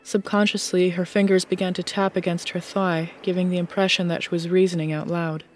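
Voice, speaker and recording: A woman's voice: fairly low pitch, little pitch movement, a little fast, faint music behind. A woman reading scripted fiction as the narrator of an audiobook.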